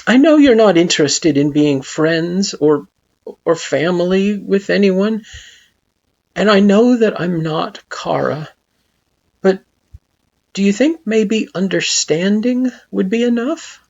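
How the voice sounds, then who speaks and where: A woman voicing an earnest young hero who speaks in a soft, sincere way. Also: medium pitch, animated, slow, muffled recording, pitched above the performer's own voice.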